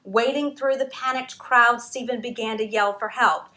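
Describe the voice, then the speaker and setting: Lady author doing a storytime read-aloud, lots of dramatic pauses, bright crisp voice. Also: a little high, fairly even intonation. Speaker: author reading her own book.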